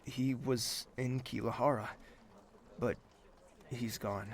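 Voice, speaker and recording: An actor playing a remorseful, lovesick young nobleman in an audio drama, with a grave, earnest delivery and a gravelly voice. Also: medium pitch, fairly even intonation, takes it slowly. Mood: somber, guilty.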